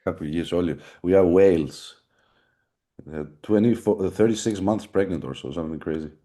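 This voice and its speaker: A young man with a Greek accent hosts a loose live chat, blunt and profane. His voice sits very low, with a dark, mellow tone. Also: moderate pace. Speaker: podcast host.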